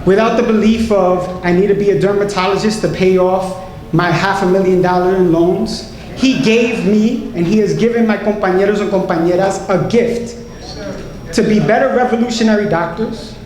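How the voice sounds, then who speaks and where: A woman doctor giving an impassioned political tribute speech with emphatic, deliberate phrasing and repetition. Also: medium pitch, a little mellow. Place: large indoor hall, tribute event. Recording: very echoey hall, faint noise.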